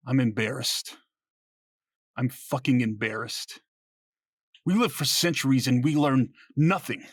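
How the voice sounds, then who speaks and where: Idealist vampire councillor: raspy mid-range voice, dry sound, impassioned and accusing, audio-drama oratory. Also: male voice, medium pitch, slow, pauses often, dry recording. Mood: outraged. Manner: impassioned, rhetorical. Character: passionate, accusing male vampire councillor who argues for peace. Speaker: actor.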